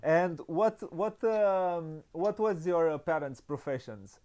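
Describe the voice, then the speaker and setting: A man's voice: a little high, slow pace, a crowd in the background. A man hosting an unscripted interview, speaking hesitantly with many stammered restarts. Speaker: interview host. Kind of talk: unscripted board game interview. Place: online video call interview.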